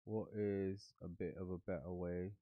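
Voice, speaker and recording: A man's voice: deep voice, flat delivery, normal speed, no room sound, narrow, tinny audio. A man streaming live as he codes trading bots, sounding nasal while getting over the flu and muttering to himself and to his bots. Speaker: livestream host coding trading bots. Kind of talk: casual live coding stream.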